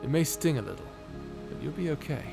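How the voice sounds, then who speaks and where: A man's voice: fairly high pitch, expressive, brisk, gravelly. A man playing a flirty, self-deprecating space pirate captain in an intimate audio roleplay, with a hesitant, nervously laughing manner. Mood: self-deprecating, caring. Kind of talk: intimate ASMR roleplay acting. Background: music.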